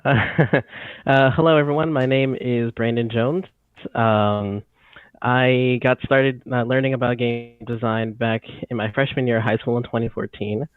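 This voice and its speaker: A man's voice: mid-range voice, steady tone, measured pace, smooth, no room sound. A man in his twenties, a game audio designer, calling in to an interview and talking in a slightly nervous way. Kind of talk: casual interview. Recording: tinny phone line, dry.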